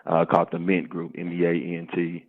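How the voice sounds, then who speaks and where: A man's voice: low-pitched, completely flat delivery, brisk, slightly rough. A man in his twenties from the American South, a guest on a podcast, chatting in an easygoing way and often calling the host "man". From Arkansas, US South. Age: 20s. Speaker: podcast guest, startup co-founder. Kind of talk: casual interview chat. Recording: phone-line sound.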